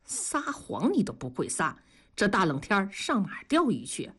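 A woman's voice: mid-range voice, big pitch swings, moderate pace, slightly rough. A woman voicing a grumpy, stubborn retired husband in an audio story, grumbling in colloquial northern Chinese speech. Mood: sulky, grumbling.

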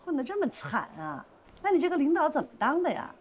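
An actress playing a pushy, flirtatious shop owner in a screen drama, insistent, teasing and quick to take offence. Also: female voice, high-pitched, sing-song. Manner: insistent, teasing, indignant, theatrical. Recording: noticeable background noise and ambience.

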